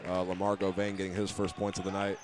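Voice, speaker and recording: Low-voiced American man calling basketball play-by-play. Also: completely flat delivery, quick pace. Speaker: play-by-play sports announcer. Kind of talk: live basketball radio commentary. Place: basketball game in a gymnasium.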